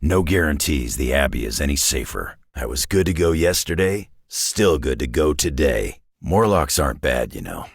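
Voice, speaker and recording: A very deep, gravelly, gruff mutant warrior. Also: male voice, sing-song, normal speed, few pauses, no echo, exaggerated voice. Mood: wry. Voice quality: gravelly, raspy. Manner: gruff, dry, sardonic, clipped folksy phrasing. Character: gruff, battle-worn older male mutant warrior, wry and tough. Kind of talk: video game character voice lines.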